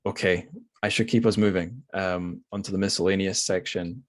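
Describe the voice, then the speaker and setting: A man hosts a relaxed book podcast. He talks casually and hesitantly, wandering through his thoughts as he goes. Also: deep voice, normal speed. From Scotland. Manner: hesitant, rambling, thinking aloud. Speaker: podcast host. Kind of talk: casual literary interview.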